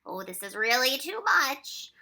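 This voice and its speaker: A woman acts out a tall, officious male courtier creature from a storybook. The voice is pitched high and bright, with big swings. He talks in a snappish, pompous, emphatic way and is easily exasperated. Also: very animated, takes it slowly, creaky, heavily acted. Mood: indignant scolding.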